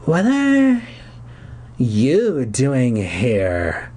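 A man voicing a spiteful, sick teenage girl with a hoarse, shrill voice, reading a storybook aloud. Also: wildly swooping pitch, extremely slow, dark tone, heavily acted. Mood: cold, suspicious.

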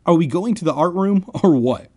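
A man's voice: fairly high pitch, expressive, moderate pace, darkish tone, voice pushed up. A man voicing an irritable, impatient schoolboy in a storybook, sarcastic and grumbling. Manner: exasperated, sarcastic.

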